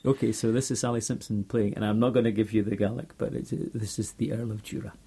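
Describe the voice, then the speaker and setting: A man's voice: lowish voice, steady tone, quick pace, gravelly, warm tone, breathy edge. A man presenting, asking rambling, joking questions in casual chat. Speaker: radio presenter.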